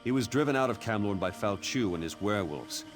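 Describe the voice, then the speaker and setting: Actor as a low-voiced, exasperated court chamberlain. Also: male voice, expressive, fairly quick, close to an everyday voice. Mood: worried, scornful. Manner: formal, exasperated, wry. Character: harried, scornful male court chamberlain. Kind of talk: fantasy video game dialogue. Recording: music behind the voice.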